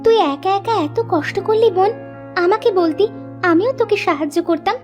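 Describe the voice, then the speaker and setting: A woman's voice: extremely high pitch, moderate pace, over music. A woman voicing a young, hardworking village girl in a cartoon moral story, earnest and sweet. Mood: excited, affectionate. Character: young village sisters, dutiful, cheerful, hardworking girls.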